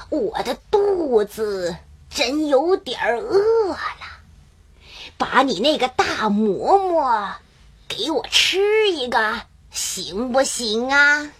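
Storybook tiger, male, sly and greedy, played by a woman: high, husky, very slow and theatrical. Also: a bit bright, exaggerated voice. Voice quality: husky, rough. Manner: slow, drawn-out, exaggerated wheedling. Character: sly greedy hungry male tiger, wheedling then menacing. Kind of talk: children's storybook character acting.

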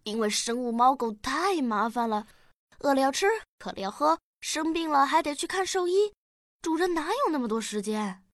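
A woman's voice: sits high, expressive, moderate pace, no echo, clean studio sound. A woman playing a curious, eager ten-year-old girl in a radio drama. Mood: matter-of-fact. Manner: childlike, eager, questioning.

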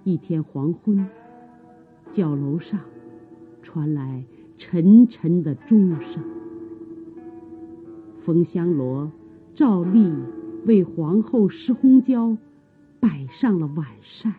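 A woman narrating a radio drama in a scripted, dramatic storytelling style, with a husky voice. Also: fairly low pitch, animated, slow, pauses often, faint music behind. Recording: dull, phone-like old radio recording.

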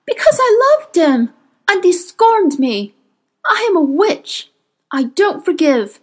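A woman's voice: very high voice, very animated, slow, pitched above the performer's own voice, heavily acted. A woman voicing a heartbroken, vengeful witch in a story, proud and full of emotion. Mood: bitter passion.

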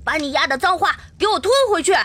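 A woman's voice: high voice, quick pace, fairly bright tone. A woman playing a fiery, defiant young male tiger-headed warrior, brash and combative, in an audio drama. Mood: enraged. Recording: music under the voice.

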